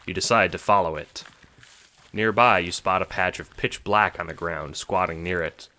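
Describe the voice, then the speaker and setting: A man narrating an audio drama in an expressive, scripted storytelling style, addressing the listener as the hero. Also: low-pitched, moderate pace. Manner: animated, expressive. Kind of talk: scripted second-person storytelling.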